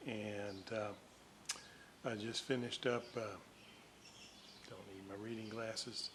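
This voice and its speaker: An older man giving casual, halting, folksy narration as a hobbyist at his workbench. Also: fairly low pitch, flat delivery, noisy background. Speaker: radio restoration hobbyist and host.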